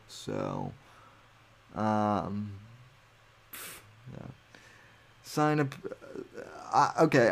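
Young male podcaster, unhurried delivery, mid-pitched, rambling and cocky. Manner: rambling, meandering, self-assured. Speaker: podcast host. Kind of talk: solo livestream podcast, reading chat aloud.

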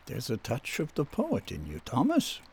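Casting: probing questioner, medium voice with varied intonation, measured, radio drama, clear background noise. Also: male voice, mid-range voice, huge pitch swings. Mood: inquiring. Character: probing, sober listener questioning a troubled policeman. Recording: noisy ambient background.

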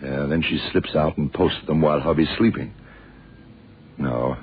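Very deep, husky detective. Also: male voice, heavily acted. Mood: musing, deducing. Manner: deliberate, musing, terse and slangy. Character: shrewd, world-weary male American detective thinking aloud. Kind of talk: 1960s-70s radio drama acting. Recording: muffled, tinny old radio recording.

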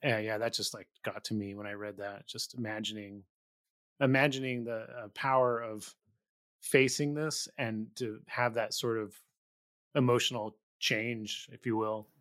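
A man's voice: on the low side, little pitch movement, a little slow, fairly bright tone, no room sound, studio quality. A man hosting an interview podcast, reflective and searching for his words as he asks questions. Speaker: podcast host.

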